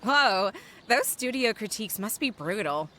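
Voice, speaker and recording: A woman playing a teasing, outspoken but supportive young female friend in an audio drama, expressive and blunt. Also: mid-range voice, measured pace, a little hiss or hum. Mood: playful teasing.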